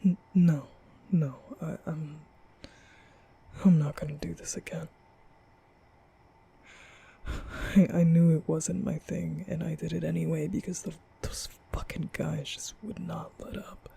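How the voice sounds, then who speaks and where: Man playing a soft, half-whispering nervous vampire boy. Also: on the high side, level tone, full character voice. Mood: flustered, defensive. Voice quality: breathy, often half-whispered. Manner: halting, stammering, rambling, sighing. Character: nervous, apologetic young male vampire, guilt-ridden and soft. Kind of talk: intimate ASMR roleplay monologue.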